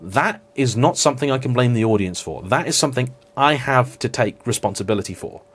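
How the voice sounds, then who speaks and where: A man's voice: medium pitch, lively intonation, a little fast, fairly bright tone, husky. A man talking to camera in an unscripted vlog, reflective and apologetic, with frequent restarts and hedging fillers. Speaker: car vlogger.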